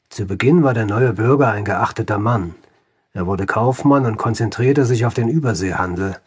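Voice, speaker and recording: A man narrating a scripted audio drama in a measured storytelling manner. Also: medium pitch, darkish tone, slightly rough.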